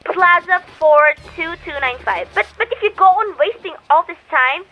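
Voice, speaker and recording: A woman's voice: very high-pitched, lively intonation, normal speed, lo-fi sound. A woman playing an agitated, imperious married woman in a radio play, with a demanding, indignant, melodramatic delivery. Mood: exasperated. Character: agitated, imperious married woman reporting an overheard murder plot.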